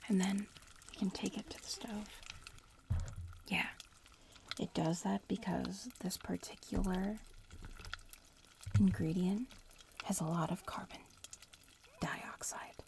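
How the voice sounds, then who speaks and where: Casting: woman for casual young witch-in-training ASMR roleplay; soft, whispery, creaky delivery, clear background hiss. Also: medium pitch, measured pace, pauses often.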